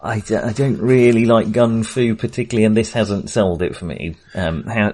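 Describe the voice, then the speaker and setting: A man's voice: on the low side, fairly even intonation, normal speed, a little mellow. A man co-hosting a film podcast in casual conversation, tentative and understated, often qualifying what he has just said.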